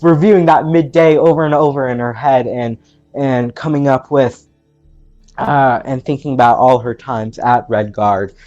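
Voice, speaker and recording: A man's voice: medium pitch, a little mellow. Hesitant male game player, slightly muffled. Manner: hesitant, pausing often. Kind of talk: casual third-person character description. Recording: slightly muffled, music behind.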